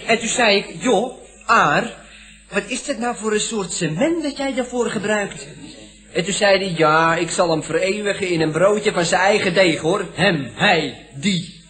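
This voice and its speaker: An actor playing a cheeky young nephew with colloquial, ungrammatical speech in an old Dutch radio comedy. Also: male voice, sits high, a little slow, pushed and bright, faint music behind. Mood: earnest. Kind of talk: 1960s-70s Dutch radio comedy acting.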